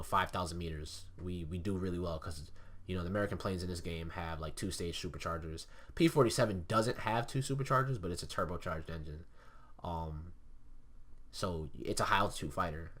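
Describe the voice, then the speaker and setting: A man's voice: low-pitched, fairly bright tone. A young man with a stuffy nose, casually explaining flight tactics over replayed gameplay footage, with many fillers. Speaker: gameplay commentator.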